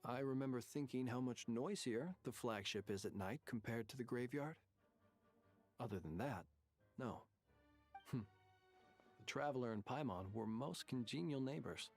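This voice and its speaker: An actor playing a stoic, formal man of few words in a video game, with deadpan delivery. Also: mid-range voice, normal speed, long pauses, close to an everyday voice. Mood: reserved. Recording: music behind the voice.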